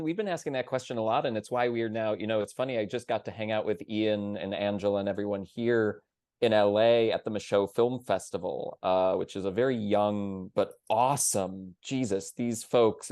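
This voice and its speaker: A man's voice: fairly low pitch, moderate pace, darkish tone, no room sound, clean studio sound. A man speaking casually as a theatre panelist, enthusiastic and digressive, often dropping in the word like.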